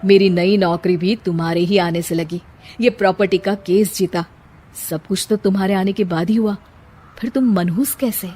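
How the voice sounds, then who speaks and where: A woman voicing a gentle, reassuring young husband in an earnest cartoon drama style. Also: medium pitch, steady tone, normal speed, soft background music, natural voice. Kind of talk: Hindi moral-story cartoon dubbing.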